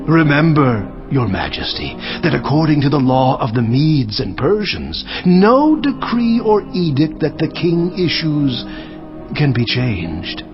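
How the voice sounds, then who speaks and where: A man's voice: on the high side, sing-song, unhurried. A man playing a scheming royal official in a dramatized scripture recording, declaiming insistently as he accuses a rival. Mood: accusing, insistent. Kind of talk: dramatized scripture audio acting. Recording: muffled audio under music.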